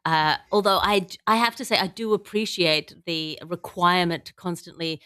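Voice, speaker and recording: A woman's voice: fairly low pitch, steady tone, normal speed, sharp tone, clean tone, dry recording, studio quality. A woman hosting a comedy news podcast, bantering in wordy, mock-formal phrasing.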